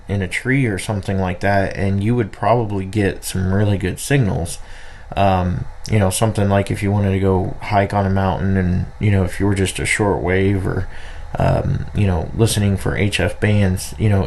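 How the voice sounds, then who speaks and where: A man's voice: lowish voice, a little mellow. A man giving a casual, unscripted product review as a hobbyist, rambling and hesitant, often trailing off. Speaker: radio hobbyist reviewing gear. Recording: faint background noise.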